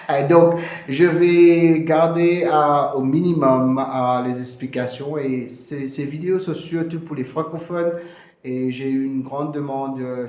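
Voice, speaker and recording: A man from Mauritius, a lawyer explaining to camera in a halting way, full of fillers and English terms. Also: mid-range voice, a little mellow, roomy sound. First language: Mauritian Creole. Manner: hesitant, many fillers, slips into English terms. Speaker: immigration lawyer.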